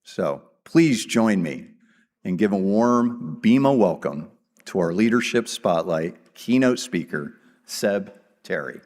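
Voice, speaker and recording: Low-voiced man speaking formally in a hall. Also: animated, darkish tone. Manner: formal, measured, reading from a script. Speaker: association executive introducing the keynote. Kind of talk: scripted speech. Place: large convention hall. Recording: long hall reverb.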